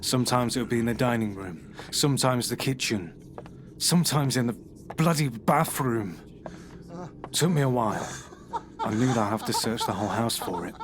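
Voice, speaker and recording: An actor playing a troubled man, giving a halting, brooding confession under questioning. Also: mid-range voice, lively intonation, background noise, lightly acted. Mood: uneasy. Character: troubled middle-aged man, paranoid and bitter. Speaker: suspect being questioned.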